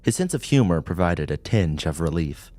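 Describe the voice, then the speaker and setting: A man reading a scripted horror story as an audiobook narrator, in a measured, dramatic way. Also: deep voice, big pitch swings, mellow, no room sound.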